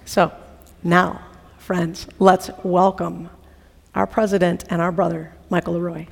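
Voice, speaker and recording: Hoarse, gravelly chapel host, loose and playful, big reverberant hall. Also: mid-range voice, flat delivery, measured pace, background noise. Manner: chatty and playful, with fillers. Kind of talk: chapel service introduction. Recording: large hall with long reverb.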